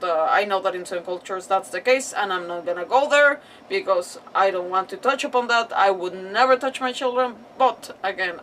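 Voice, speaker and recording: A woman streamer giving casual gaming commentary in a rambling, halting way, often searching for words. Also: medium pitch, a bit bright. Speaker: live streamer playing a video game. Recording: distant mic, faint game noise behind. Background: video game audio.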